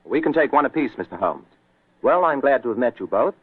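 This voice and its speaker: A tinny old radio recording carries a breezy young diplomat. He is facetious and urbane, talks quickly, and has a slightly husky edge. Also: medium pitch, expressive, no room sound. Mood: breezy, flippant. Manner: flippant, urbane. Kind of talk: 1940s radio acting.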